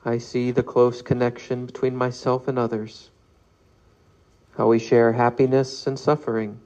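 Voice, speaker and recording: A man leading a guided meditation, reading aloud calmly in measured phrases with long pauses. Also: mid-range voice, highs cut off. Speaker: meditation leader.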